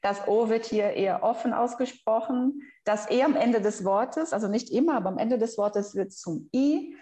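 A woman's voice: fairly high pitch, normal speed, mellow, echoey room, rough recording. A woman from Brazil with a Brazilian Portuguese accent, a podcast guest patiently explaining pronunciation. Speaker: guest researcher. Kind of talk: podcast interview.

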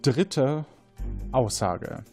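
Unhurried, lively man hosting a game. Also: medium pitch, faint music behind. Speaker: game show host. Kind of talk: moderating an interactive audio quiz game.

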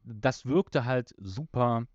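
A man talking casually as a podcast host, halting and circling back to rephrase his points. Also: medium pitch, darkish tone, no room sound, dull audio. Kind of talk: casual discussion of an audio drama episode.

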